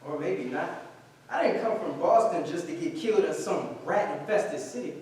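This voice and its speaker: Brash young skeptic acted by a man for a 1940s stage play, high voice, challenging, very roomy noisy pickup. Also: lively intonation, normal speed, darkish tone. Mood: sarcastic, scornful. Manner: curt, sarcastic, challenging. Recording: very echoey room, distant mic, faint noise.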